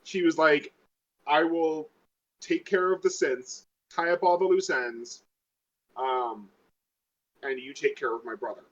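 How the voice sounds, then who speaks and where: Dull, lo-fi audio of a man with a slightly high voice. He is a tabletop game player, chatting and bantering casually. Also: unhurried, long pauses.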